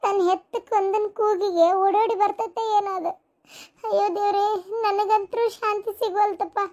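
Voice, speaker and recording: A woman's voice: very high voice, steady tone, normal speed, warm tone, muffled recording. A woman voicing the grieving, restless ghost of a young mother in a melodramatic cartoon story, lamenting with a North Karnataka accent. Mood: anguished, tearful. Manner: plaintive, lamenting.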